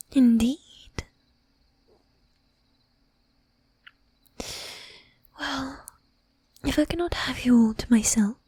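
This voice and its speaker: A woman playing a flirtatious, possessive vampire lady in an ASMR roleplay, speaking formally in a breathy whisper close to the microphone. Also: a little high, no room sound, heavily acted. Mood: flirtatious, persuasive. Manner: formal, archaic diction, slow and deliberate. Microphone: close microphone, dry.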